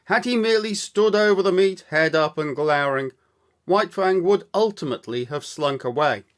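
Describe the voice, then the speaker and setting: A man reading a story aloud as scripted narration, with measured, deliberate, dramatic phrasing. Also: high-pitched. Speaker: audiobook storyteller, actor.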